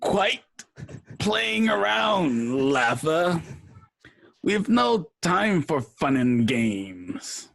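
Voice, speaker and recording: An actor playing a flamboyant, cackling villain clown who is gleefully cruel, speaking theatrically in an amateur radio drama. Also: male voice, a little high, sing-song, very slow, vocal fry, fairly bright tone, exaggerated voice. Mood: impatient. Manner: theatrical, frequent maniacal laughter.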